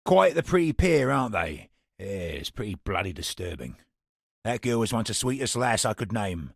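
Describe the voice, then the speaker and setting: An actor playing a sardonic, roguish antiquities trader in a fantasy video game, with a gravelly, husky British voice and a wry, colloquial way of talking. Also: male voice, lowish voice, sing-song, normal speed, no room sound, clean studio sound, exaggerated voice. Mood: wry, grim. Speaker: game non-player character merchant. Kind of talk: theatrical video game character dialogue.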